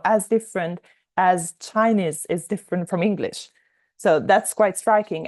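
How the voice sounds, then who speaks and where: A young woman who grew up in Italy and speaks Italian as her first language, with a slightly low voice. She talks as an author being interviewed, thinking aloud and explaining her points in a conversational way. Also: darkish tone. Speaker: interview guest, novelist.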